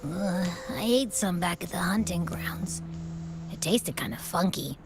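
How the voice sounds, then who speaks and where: A woman's voice: on the low side, lively intonation, a little slow, over music. A woman voicing a small, childlike creature companion in a video game, hesitant and fond of food. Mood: groggy, queasy.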